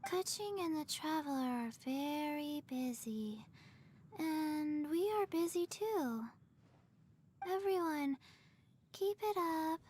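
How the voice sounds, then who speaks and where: Slow, deadpan little girl. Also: high-pitched, a little hiss or hum. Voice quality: small, childlike. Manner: deadpan, halting, simple short phrases. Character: small undead herb-picking girl, flat, earnest, slow-witted. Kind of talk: video game voice acting.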